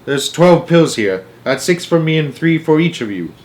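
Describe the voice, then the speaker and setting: A man voicing a greedy, bossy old grandmother who shouts and squabbles in a storybook reading. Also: a little high, normal speed, pitched above the performer's own voice, natural voice. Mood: excited, greedy. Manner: excited shouting, quarrelsome.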